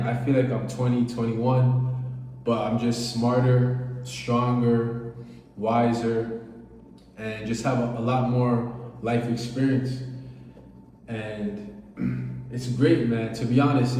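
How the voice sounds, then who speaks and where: Young man in his late 20s, earnest and laid-back, mellow voice, echoey roomy sound from far off, faint background ambience. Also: medium pitch, a little hiss or hum. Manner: relaxed, earnest, speaks in casual slang. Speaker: vlogger. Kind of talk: reflective casual monologue. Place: abroad, recorded on location.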